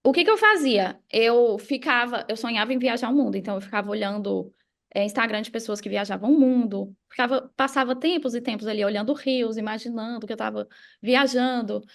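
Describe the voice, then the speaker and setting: A woman's voice: fairly high pitch, brisk, vocal fry. A Brazilian woman speaking as a podcast guest, explaining mindset in a casual, motivational and emphatic way. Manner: emphatic, repeats key phrases, uses examples and acted quotes. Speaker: podcast guest, affiliate marketer and mindset coach.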